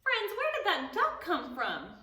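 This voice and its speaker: Female kids' storytime host, encouraging, slow and bright; roomy sound. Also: very high-pitched, recorded from a distance. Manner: warm, animated, encouraging to children. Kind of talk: preschool storytime.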